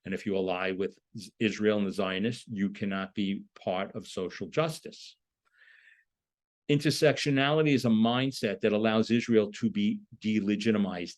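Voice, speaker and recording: A man's voice: lowish voice, moderate pace. A man lecturing in an explanatory, emphatic manner, posing rhetorical questions as he goes. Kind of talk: lecture on a political topic.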